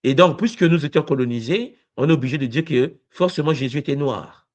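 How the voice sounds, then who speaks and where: Animated man pressing questions. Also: fairly high pitch, brisk. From Congo. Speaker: university lecturer. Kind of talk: debate-style discussion.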